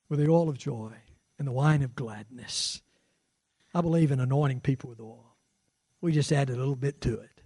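A man's voice: on the high side, warm tone, husky, no echo. A man in his sixties preaching a sermon as pastor, conversational and fervent, with rising exclamations. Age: 60s. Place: church sanctuary.

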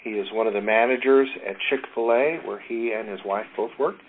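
A man's voice: fairly low pitch, fairly quick. A man hosting, giving an upbeat, welcoming introduction of the evening's speaker. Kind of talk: formal introduction. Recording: tinny phone-like line with faint noise.

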